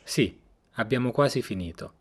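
A man's voice: mid-range voice, expressive, normal speed, husky. A man in his forties giving first-person, reflective scripted narration for a radio documentary. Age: 40s.

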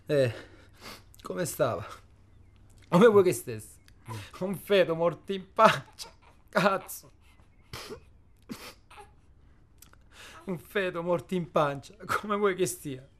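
A man's voice: high-pitched, expressive, takes it slowly. A man playing a coarse, pragmatic, pushy henchman in a radio drama, with a southern Italian accent and colloquial speech. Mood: angry. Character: rough, pragmatic henchman called Toto, cynical and pushy.

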